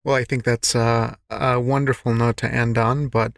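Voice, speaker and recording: Guy podcast host, medium pitch, super dry audio, chatty and encouraging with his guest. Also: level tone, normal speed, gravelly, no room sound. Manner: appreciative, encouraging. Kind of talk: conversational interview.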